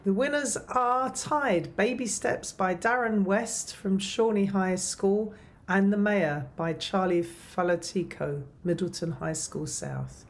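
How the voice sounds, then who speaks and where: A woman's voice: mid-range voice, steady tone, slow. A woman in her fifties, a professor, formally presenting award nominees from home on a webcam.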